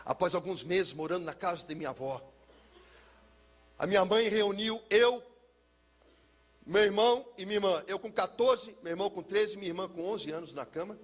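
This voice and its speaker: A Brazilian man speaking as a pastor, telling a personal testimony in an emphatic, theatrical way with deliberate repetition. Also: high-pitched. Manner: emphatic, theatrical, uses pauses and repetition for effect. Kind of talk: personal testimony, dramatic storytelling to listeners.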